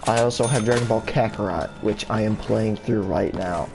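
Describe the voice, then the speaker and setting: A young man casually rambling in a gaming vlog, full of fillers, restarts and casual swearing, his voice jumping higher when he gets excited. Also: normal speed, vocal fry, over music. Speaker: gaming YouTuber. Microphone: console camera mic. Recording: steady background noise.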